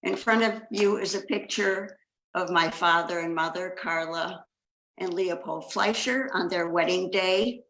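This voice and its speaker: Older woman with a gravelly voice in an echoey room. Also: on the low side, fairly bright tone. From China. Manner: measured, reads from a script. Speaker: second generation Holocaust speaker. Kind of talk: prepared talk with slides.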